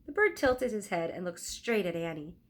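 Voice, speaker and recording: A woman narrating a children's storybook aloud in an expressive, deliberate storytelling style. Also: lowish voice. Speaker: teacher reading aloud.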